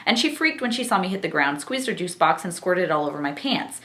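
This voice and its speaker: A woman's voice: lowish voice, lively intonation, quick pace, fairly bright tone, echoey room. A woman hosting a vlog of book reviews, talking and reading aloud in an exuberant, theatrical way. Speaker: book-review vlogger and host.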